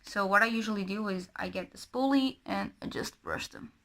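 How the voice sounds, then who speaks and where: A woman's voice: mid-range voice, moderate pace, creaky, a bit bright. A young woman from Portugal, chatting casually and rambling with many self-corrections while doing her makeup. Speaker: book and beauty vlogger.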